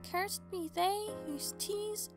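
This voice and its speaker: Woman as a high-pitched plucky little filly pony. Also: steady tone, takes it slowly, over music, heavily acted. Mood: defiant singsong.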